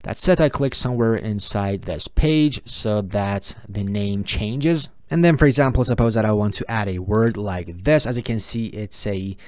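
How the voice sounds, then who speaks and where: A man whose first language is Persian, giving a screen-recorded software tutorial at his computer, explaining steps patiently with many "for example" and "uh" fillers. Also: lowish voice, moderate pace, hardly any pauses, dry recording. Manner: explanatory, repetitive, frequent "uh" and "for example". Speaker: tutorial host.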